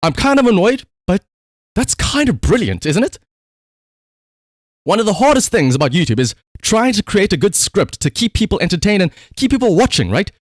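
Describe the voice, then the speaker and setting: A man's voice: high voice, sing-song, moderate pace, no room sound, clean studio sound. A man livestreaming casual commentary, telling a story conversationally with rhetorical asides.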